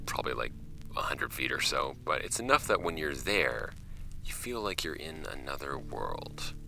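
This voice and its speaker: Very deep, gravelly, wry podcaster. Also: male voice, background noise, heavily acted. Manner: wry, offhand, halting when ad-libbing, measured when reading. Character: flippant young man recording an amateur podcast, reading his late uncle's files. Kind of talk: audio drama monologue as homemade podcast narration.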